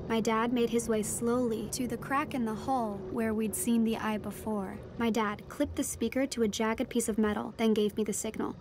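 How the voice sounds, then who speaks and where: A woman's voice: on the high side, background noise, close to an everyday voice. An actress playing a reflective young daughter of a marine biologist, narrating her adventure in scripted audio fiction. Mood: reflective, tender. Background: music and sound design under the voice.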